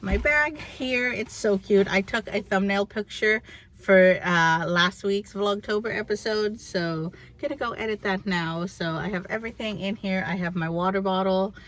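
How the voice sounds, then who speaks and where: A young woman chatting casually and candidly to her vlog audience in a rambling, animated way. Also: medium pitch, normal speed. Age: young adult. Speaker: vlogger.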